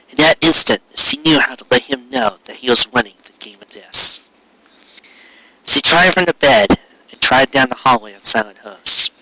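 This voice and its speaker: A man reading a story aloud as a dramatic narrator, earnest and expressive, pausing for emphasis. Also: medium pitch, poor audio. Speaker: fan fiction reader.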